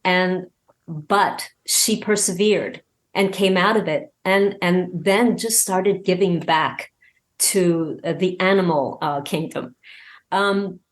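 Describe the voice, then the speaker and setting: A woman talking casually as an interview guest, excited and halting, often repeating words as she goes. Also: fairly low pitch. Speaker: podcast guest, audio drama creator.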